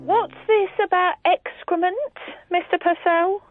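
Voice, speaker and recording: An actress playing a brisk, blunt and bossy estate agent. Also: female voice, very high voice, fairly even intonation, over music. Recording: tinny dry phone-line sound.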